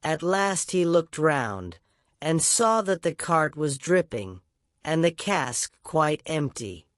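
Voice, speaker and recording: A storyteller giving an expressive scripted reading in a storybook narration style. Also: mid-range voice, slow pace, dry recording, studio quality.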